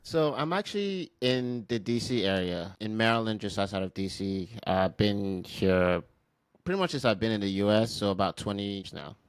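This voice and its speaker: Male guest, 30s, from Nigeria; relaxed, filler-heavy chat, low voice, dry sound. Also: moderate pace, clean tone, no room sound. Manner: relaxed, many fillers like "you know" and "like". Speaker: guest, accounting firm founder. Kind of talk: casual interview talk.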